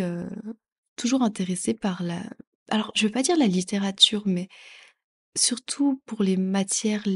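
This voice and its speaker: A woman's voice: medium pitch, a little mellow. A woman from France hosting a podcast as a French teacher, talking casually with many fillers and frequent laughs. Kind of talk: casual educational podcast talk.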